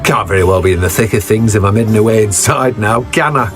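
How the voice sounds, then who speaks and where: An actor playing a jovial, sociable plant seller in a video game, hearty and chatty. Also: male voice, lowish voice, fast talker, over music, lightly acted. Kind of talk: video game character acting.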